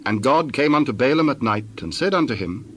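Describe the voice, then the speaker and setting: A man's voice: mid-range voice, expressive, fairly quick, fairly bright tone, slight background noise. A man narrating scripture from a script for a dramatized reading.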